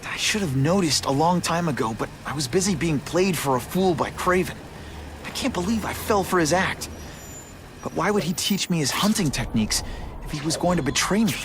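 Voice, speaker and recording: Man acting a young American male game hero, high expressive voice, quippy, righteous, earnest. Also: fairly quick, background noise, lightly acted. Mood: brooding, puzzled. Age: young adult.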